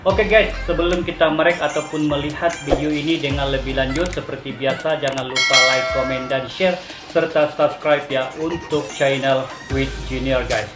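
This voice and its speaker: A man's voice: medium pitch, steady tone, normal speed. A man hosting a reaction channel, chatting in an upbeat YouTube style and tossing in "oke guys" often. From Malaysia. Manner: friendly, peppers talk with "oke guys". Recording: echoey room with faint music.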